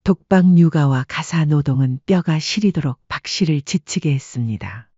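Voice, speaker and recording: A woman narrating a scripted story with a soft, husky voice. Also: low voice, expressive, moderate pace, no room sound, muffled recording.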